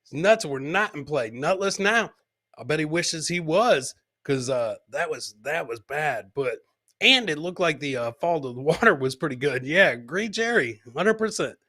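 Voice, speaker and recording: A man's voice: a little high, animated, moderate pace, bright, forceful tone. A man hosting a live comedy podcast, casually commenting on fail clips and repeating his own lines as he chats with viewers.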